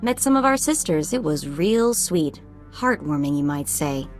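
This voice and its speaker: Sassy, low-voiced young sidekick. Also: female voice, measured pace, faint music behind. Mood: sarcastic. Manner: sarcastic, informal, expressive. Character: sassy young female slicer sidekick, street-smart, loyal. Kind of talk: video game voice acting.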